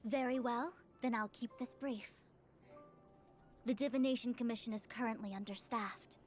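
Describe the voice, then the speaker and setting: Brief: poised formal seer woman, measured and dry, game music underneath woman acting it. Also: a little high, steady tone, close to an everyday voice. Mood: composed. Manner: measured, formal, dryly exasperated. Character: calm stern female seer, formal and weary.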